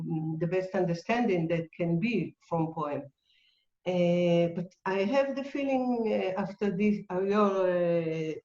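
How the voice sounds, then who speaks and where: Israeli-accented woman, native Hebrew speaker, slow and hesitant, mellow voice, echoey dull call audio. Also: fairly low pitch. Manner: hesitant, searching for words, many fillers. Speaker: participant in an online talk. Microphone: video call.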